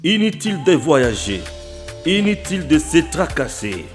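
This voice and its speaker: A man's voice: fairly high pitch, very animated, measured pace. Man reading a shop advert over music. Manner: formal, promotional address to listeners. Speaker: radio ad announcer. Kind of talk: scripted advertisement read. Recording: voice-over with loud backing music.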